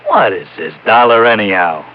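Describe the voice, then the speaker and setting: Jittery old-time radio mobster. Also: male voice, on the low side, big pitch swings, moderate pace. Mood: defensive. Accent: American. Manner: slangy tough-guy talk with insistent repetition. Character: shifty ex-convict mobster, jittery and defensive. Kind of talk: 1950s radio crime drama acting. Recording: muffled old radio broadcast recording.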